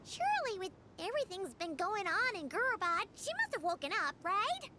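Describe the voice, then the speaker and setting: A woman voicing a tiny, cheerful, childlike fairy companion in a video game, excitable and squeaky. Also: a little fast, heavy background noise. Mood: earnest, chatty. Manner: excitable, refers to self in third person. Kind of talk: animated video game character acting.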